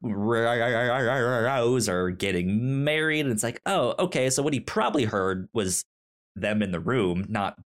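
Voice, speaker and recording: A man co-hosts a casual film review podcast. His pitch moves around a great deal as he talks. He speaks haltingly, often stumbling over and repeating his words. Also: expressive. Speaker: podcast co-host.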